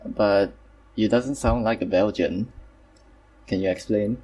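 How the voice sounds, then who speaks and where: A man's voice: lowish voice, measured pace, darkish tone, distant mic. A man hosting a casual online group chat, talking hesitantly with many fillers and apologies. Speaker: host.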